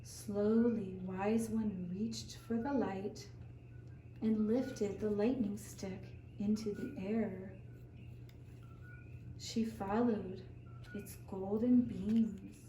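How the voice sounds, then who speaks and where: A woman telling a scripted story for young children in measured, deliberate phrases with long pauses, recorded in a roomy space away from the mic. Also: medium pitch, fairly even intonation, warm tone. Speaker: storyteller for a kindergarten puppet show. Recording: roomy, distant mic with faint noise.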